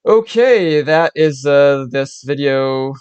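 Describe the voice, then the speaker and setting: A man's voice: fairly high pitch, expressive, slow pace, darkish tone, clear, resonant tone. A young man from Switzerland reacting to videos on a live stream, talking in rambling bursts with restarts and laughs. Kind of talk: casual reaction commentary.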